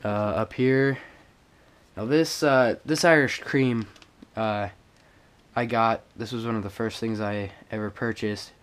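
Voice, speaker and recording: Slow, congested young man vlogging. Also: fairly low pitch, fairly even intonation, clean tone. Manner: halting, many fillers and restarts. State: stuffed up from a cold. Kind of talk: casual show-and-tell vlog. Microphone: action camera on a tripod.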